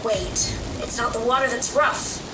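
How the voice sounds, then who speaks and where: A woman's voice: a little high, unhurried, recorded from a distance, heavy background noise, lightly acted. A woman playing a young superheroine, sassy and upbeat, in a casual, wry, chatty way. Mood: brisk, exasperated.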